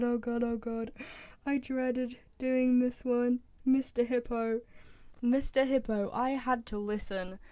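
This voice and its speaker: A woman's voice: on the high side, darkish tone, dry recording. A young woman giving casual, opinionated commentary in a chatty, emphatic way. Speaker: video host, game fan.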